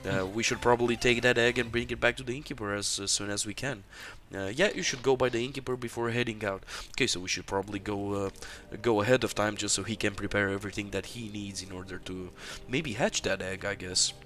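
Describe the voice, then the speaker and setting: Bright-voiced man playing live. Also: on the low side, steady tone, fast talker, hardly any pauses. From Romania. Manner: narrates his actions, many fillers. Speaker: game developer streaming gameplay. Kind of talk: live gameplay commentary.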